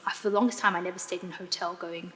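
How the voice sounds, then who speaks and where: Bright-voiced British woman in an echoey hall. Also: fairly low pitch, fairly even intonation, rapid-fire. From United Kingdom. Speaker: interview guest giving testimony. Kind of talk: personal story in live interview. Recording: echoey hall, clear background noise.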